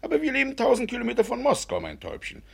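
A man's voice: very high-pitched, wildly swooping pitch, racing, creaky, husky, full character voice. An actor in a German radio play, playing a pompous, doting middle-aged Russian landowner and father who is effusive and easily indignant. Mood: pompous, complaining.

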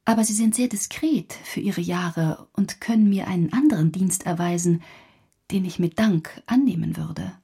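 An actress playing a genteel, melodramatic, impoverished wife, proud and fragile, with a plaintive manner in a German radio drama. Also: female voice, on the low side, normal speed, creaky, warm tone, slightly rough, exaggerated voice. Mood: pained, discreet.

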